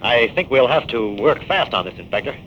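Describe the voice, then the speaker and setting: A man's voice: medium pitch, close to an everyday voice. An actor playing a confident, wry male scientist-detective in a 1940s radio drama. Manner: lively, wry, quick banter. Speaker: lead actor. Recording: dull old radio transcription with faint hiss.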